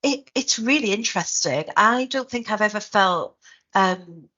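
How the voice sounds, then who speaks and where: Brief: middle-aged Geordie woman, academic leader, reflective and measured, slightly muffled recording. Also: mid-range voice, lively intonation, pushed and bright. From Newcastle, north of England. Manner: thoughtful, frequent ums and restarts. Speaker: head of a university school, guest. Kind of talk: reflective interview answers.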